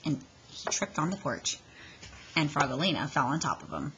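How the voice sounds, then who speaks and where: A woman reading a children's story aloud in an expressive, dramatic way, leaning on the sound words. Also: sits low, normal speed, dull audio, heavy background noise.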